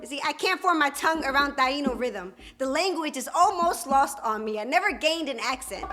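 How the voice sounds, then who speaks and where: A young woman performing spoken word poetry at a live open mic, with a rhythmic, emphatic delivery and dramatic pauses. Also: high voice, lively intonation, pushed and bright, soft background music. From Puerto Rican and Dominican heritage.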